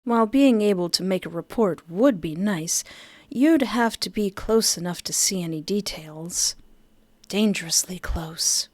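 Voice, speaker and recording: A woman reading a visual novel aloud as a streamer, with expressive, storyteller-like narration and a playful manner. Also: mid-range voice, a little slow, no echo. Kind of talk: expressive scripted narration with casual asides.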